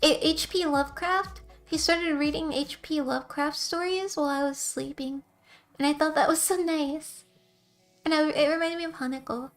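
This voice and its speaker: A young woman streamer with a soft, quiet voice, chatting casually with her viewers in a rambling, giggly way. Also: high voice, fairly even intonation, normal speed, soft background music.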